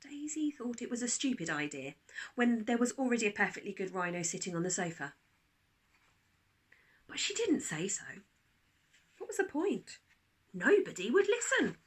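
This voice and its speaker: A woman narrating a children's storybook like a teacher reading aloud, expressive, with dramatic pauses. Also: a little high, slightly rough.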